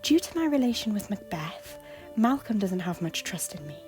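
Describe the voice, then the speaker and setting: A widowed medieval queen, played by a woman, speaking in a mid-pitched voice. She sounds guarded and secretive, with faint music in the background. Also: very animated, normal speed, darkish tone, close to an everyday voice, low-quality recording. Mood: hushed. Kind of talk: fan audio drama acting.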